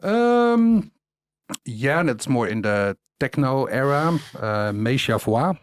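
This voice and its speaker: A man's voice: medium pitch, very animated, takes it slowly, no room sound. A middle-aged man from the Netherlands with an Amsterdam accent, chatting casually as a DJ guest, rambling with many fillers and easy laughs. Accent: Dutch Amsterdam. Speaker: DJ and producer guest. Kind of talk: casual interview chat.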